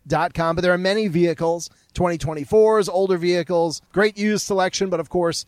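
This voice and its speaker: Co-host, male, high-ish voice, brisk salesy live reads, dry booth sound. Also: steady tone, dry recording. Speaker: co-host reading sponsor ads. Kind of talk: sports radio banter with live reads.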